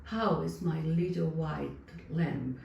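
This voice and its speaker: A woman's voice: sits low, slow, gravelly, dark tone, lo-fi sound, heavily acted. A woman voicing a proud, trusting king with a formal manner in a folktale. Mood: stately, confident. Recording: distant, roomy sound with faint noise.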